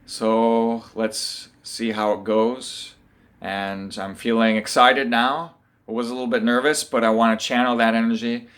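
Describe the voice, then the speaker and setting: A man in his room talking to camera about a competition, relaxed and earnest, pausing to think as he goes. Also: mid-range voice. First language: English. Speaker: vlogger. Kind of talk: casual vlog talk to camera.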